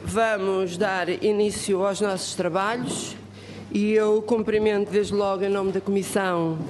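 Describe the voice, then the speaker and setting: A woman's voice: mid-range voice, fairly even intonation, a little slow, in a large hall. A woman opens and runs a formal hearing. She speaks courteously and sticks to procedure. A faint hum sits under her voice. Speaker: committee vice-chair chairing a hearing.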